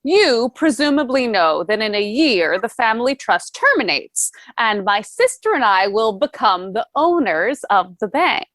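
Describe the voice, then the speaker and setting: A woman's voice: fairly high pitch, sing-song, unhurried, hardly any pauses, a bit bright, dry recording. A woman playing a polite, genteel heiress who asks questions and wants more money, in a table read of a stage musical. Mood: polite, probing.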